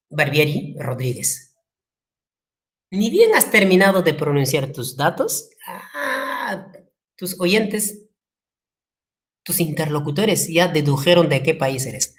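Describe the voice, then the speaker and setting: Peruvian woman teacher, low voice, lively lecture delivery with acted-out quotes. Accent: Peruvian Spanish. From Peru. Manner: lively, acts out quotes, asks the class questions. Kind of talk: classroom lecture.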